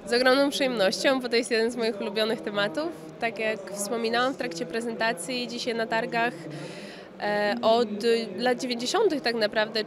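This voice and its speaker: A woman in her thirties giving an enthusiastic, explanatory interview as a company marketing manager, speaking into a handheld microphone. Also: a little high. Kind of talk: spontaneous trade fair interview. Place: indoor exhibition hall in front of backdrop. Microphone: handheld interview microphone just below frame. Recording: busy trade fair hall crowd noise. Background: crowd hubbub.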